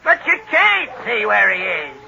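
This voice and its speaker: An actor playing a cowed, anxious underling crook with ungrammatical talk in a 1930s radio drama. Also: male voice, high voice, huge pitch swings, measured pace, creaky, a crowd in the background, full character voice. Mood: nervous. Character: cowed, nervous male crook, pleading and dim. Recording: noisy, muffled 1930s radio recording.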